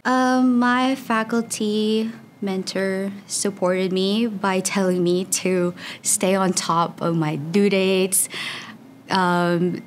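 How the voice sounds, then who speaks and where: Chatty young woman, lowish voice. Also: slight background noise. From Philippines. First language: Tagalog. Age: young adult. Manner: chatty, frequent "like" fillers. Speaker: student researcher. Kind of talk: casual interview answers.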